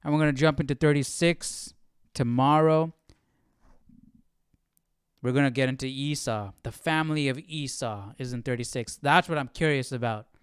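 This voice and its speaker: A man talks casually as a livestream host, puzzling things out aloud. His voice is clear and smooth, with an informal, questioning manner. The sound is dry and close, with little room around it. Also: medium pitch, normal speed, no echo. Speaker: host of a Bible study livestream.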